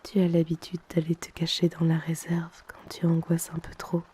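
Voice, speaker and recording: A woman playing a soothing, motherly hypnotist and colleague in an ASMR audio roleplay, speaking in a hushed, reassuring manner. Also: on the low side, monotone, normal speed, a little hiss or hum, close to an everyday voice. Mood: tender, reassuring. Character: soothing, motherly female hypnotist and bar colleague comforting someone.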